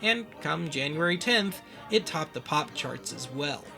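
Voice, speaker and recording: A man narrating a scripted documentary as its host, with wry, deadpan humour. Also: on the high side, a little slow, creaky, faint music behind.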